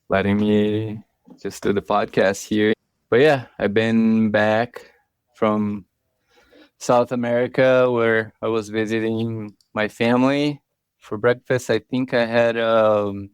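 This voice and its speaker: A man from Brazil, a climber, answering interview questions in a casual, low-key way from a friend's house. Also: clear, resonant tone, no echo. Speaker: climber guest.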